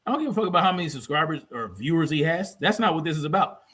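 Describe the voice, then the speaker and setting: A man's voice: fairly high pitch, lively intonation, racing, fairly bright tone, husky. A man hosting a livestream in a combative, blunt, profane way, repeating phrases for emphasis as he reacts to clips and chat. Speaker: livestream host.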